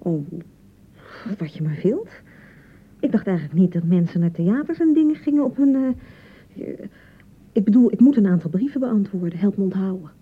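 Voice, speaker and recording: A woman's voice: medium pitch, lively intonation, moderate pace, pauses often, slightly rough. A woman acting a touchy, hurt and unsure young newlywed bride in a radio drama, defensive and hesitant in manner. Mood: nervous, flustered. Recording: dull, slightly muffled 1990 radio broadcast.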